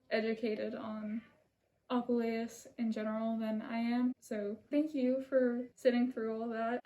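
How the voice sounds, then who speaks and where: Soft-spoken woman reading a video essay. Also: a little high, poor audio. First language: not Italian. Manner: soft-spoken, apologetic, earnest. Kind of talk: scripted video essay narration. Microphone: camera mic, seated holding a script.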